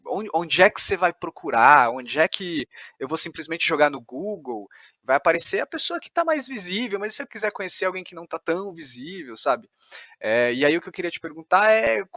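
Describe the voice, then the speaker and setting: A man's voice: a little high, big pitch swings, fairly quick, pushed and bright, no echo, clean studio sound. A man hosting a podcast interview, asking long, rambling questions with many fillers. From São Paulo. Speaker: podcast host.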